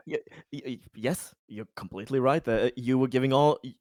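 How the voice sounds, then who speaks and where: A man's voice: medium pitch, moderate pace, darkish tone, no echo, studio quality. A man chatting casually as a player in a tabletop game, informal and sweary, often repeating his words.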